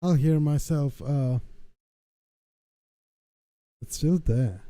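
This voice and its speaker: Streamer man with a very dark voice. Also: on the high side, extremely slow. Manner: frustrated, swearing, thinking aloud. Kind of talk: casual muttering while testing audio settings.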